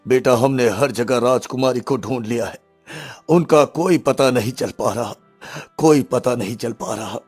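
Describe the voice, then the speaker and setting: A man's voice: medium pitch, a little slow, darkish tone, slightly rough, soft background music. A man voicing an anxious middle-aged king and father in a cartoon story, speaking in a solemn, troubled way. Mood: anxious urgency. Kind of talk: Hindi cartoon story voice acting.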